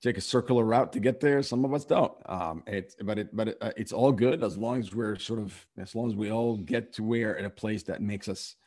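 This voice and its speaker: Brief: low-pitched man from Syria, interview guest, stumbling and earnest. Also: darkish tone. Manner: halting, many restarts and fillers. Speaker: podcast host and real estate investor. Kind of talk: conversational interview.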